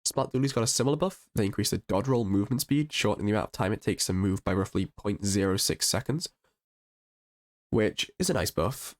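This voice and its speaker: A man with a croaky voice from being a little sick, casually reviewing game patch notes with upbeat enthusiasm and frequent restarts. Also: on the low side, fairly quick. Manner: chatty and enthusiastic, with ums and restarts. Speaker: gaming channel host.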